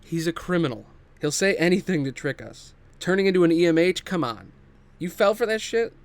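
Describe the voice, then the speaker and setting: A man's voice: a little high, lively intonation, fairly quick, voice pushed up, close to an everyday voice. A man voicing an abrasive, stubborn starship officer, blunt and dismissive, in a sci-fi table read.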